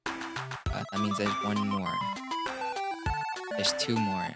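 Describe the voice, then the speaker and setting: A man's voice: on the low side, level tone, slow, loud music behind the voice. A man reading a visual novel aloud as a gamer, casual and slangy, mixing narration with his own reactions. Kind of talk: casual let's play narration with asides.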